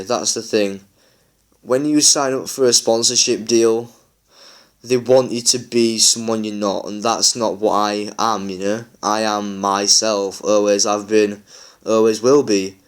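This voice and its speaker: A man talks loosely over his gameplay, his voice a little low and worn croaky from a long session. He rambles with plenty of fillers, friendly and unscripted. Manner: rambling, chatty, many fillers. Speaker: gaming YouTuber. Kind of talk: casual gameplay chat.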